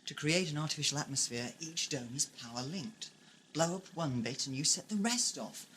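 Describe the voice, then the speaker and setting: A man's voice: fairly high pitch, expressive, normal speed, pushed and bright, a little hiss or hum. A man playing a lord's loyal engineer in a sci-fi audio drama, urgent, blunt and persuasive. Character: urgent, pleading male engineer henchman of a lord.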